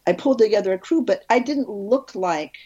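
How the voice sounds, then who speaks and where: A woman film director, an interview guest, telling animated stories in casual conversation with frequent restarts. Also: a little fast, dull audio. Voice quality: husky, low.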